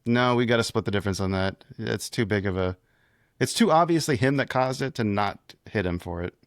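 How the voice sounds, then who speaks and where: A man's voice: on the low side, brisk, no echo, clean studio sound. A man co-hosting a casual history podcast, easygoing and conversational as he weighs scores with his partner. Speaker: podcast co-host. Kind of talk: casual chat.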